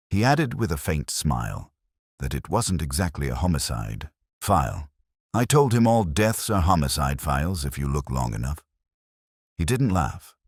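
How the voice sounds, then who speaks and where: A man with an extremely low voice narrates a scripted detective story in a measured, literary manner. His intonation moves widely despite the brooding delivery. The sound is dry and close, with very little room on it. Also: dry recording.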